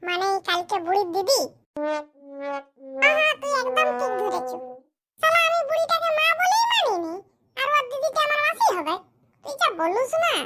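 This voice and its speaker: A performer voicing a squeaky, indignant young man in a comedy cartoon, bickering. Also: pushed and bright, exaggerated voice. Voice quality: squeaky, artificially high voice. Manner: scolding, bickering, rhetorical questions. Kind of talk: exaggerated comic cartoon dialogue in rural dialect.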